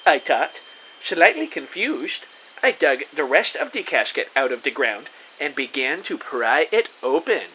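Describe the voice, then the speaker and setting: An actor playing an earnest, excitable, bumbling amateur detective, narrating his case melodramatically in an audio drama. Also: on the high side, muffled recording, slight background noise, full character voice. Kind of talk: scripted first-person audio drama narration.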